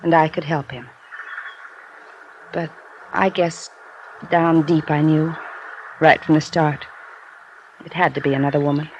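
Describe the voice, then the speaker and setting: An actress playing a hurt but devoted wife in a 1950s radio drama, with an American accent and an earnest, halting delivery. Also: female voice, sits low, steady tone, highs cut off. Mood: wistful, resigned. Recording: hissy vintage radio recording.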